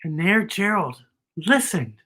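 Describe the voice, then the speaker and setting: A woman hosting children's story time, reading a picture book expressively and deliberately explaining it to young listeners. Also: medium pitch, sing-song, bright, forceful tone, husky.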